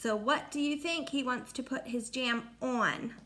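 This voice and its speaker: A woman reading a picture book aloud like a teacher, speaking deliberately to young listeners and explaining as she goes. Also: high voice, bright, forceful tone. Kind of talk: read-aloud storytelling with teaching asides.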